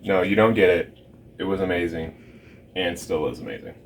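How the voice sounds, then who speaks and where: Low-voiced man hosting a family vlog. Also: animated, normal speed, gravelly, a little hiss or hum, rough recording. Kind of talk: casual chat and personal storytelling.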